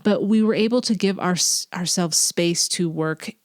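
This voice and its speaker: A woman's voice: lowish voice, normal speed, darkish tone, no echo, studio quality. A woman with a nasal voice, stuffed up with a cold, hosting her own podcast in an earnest, reflective way. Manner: earnest, reflective, drops to breathy whispers at times. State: congested with a cold. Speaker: podcast host; teacher. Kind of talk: casual solo podcast talk.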